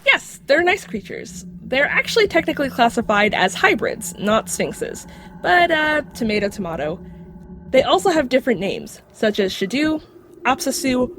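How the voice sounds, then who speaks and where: A knowledgeable mythical creature in the shape of a dog, speaks in a high, very animated voice. It explains things matter-of-factly with a wry edge, audio drama style, over faint background noise. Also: female voice, normal speed. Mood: explaining. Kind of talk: scripted audio drama acting.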